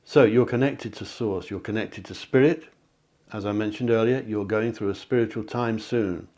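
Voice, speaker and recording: A man, a psychic hosting a card reading, talking in a chatty, encouraging way that often corrects itself, his voice a little hoarse. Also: lowish voice, moderate pace, a little mellow. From England. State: slightly hoarse, clearing throat. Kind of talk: tarot-style card reading to camera. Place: home near the sea.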